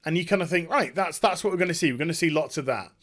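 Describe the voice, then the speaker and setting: A man with a fairly high voice gives a casual, spoken recap for a gaming video. He is enthusiastic and emphatic, rambling along in halting bursts as he searches for the next phrase. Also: fairly bright tone.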